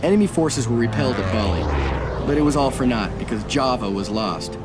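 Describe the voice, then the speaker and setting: A man's voice: mid-range voice, animated, brisk, close to an everyday voice. Navy commander narrator for a war game, grave and dry, played by a man; music behind him. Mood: resolute. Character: seasoned male naval commander, dry and resolute. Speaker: naval officer narrating.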